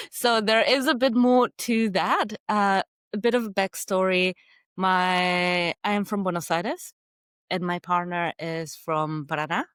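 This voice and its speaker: A woman, telling her story as a podcast guest in a measured, thoughtful way. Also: lowish voice, a bit bright, no echo, studio quality. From Argentina. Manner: measured and thoughtful, with some fillers and light laughs. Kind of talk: casual interview storytelling.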